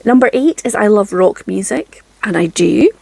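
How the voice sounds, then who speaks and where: A Scottish woman in her forties talks casually to camera from her home craft room. She is chatty and self-deprecating, with frequent ums and soft laughs. Her mid-pitched voice carries a gravelly, creaky edge. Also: expressive, normal speed, dry recording. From Scotland. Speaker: craft channel host.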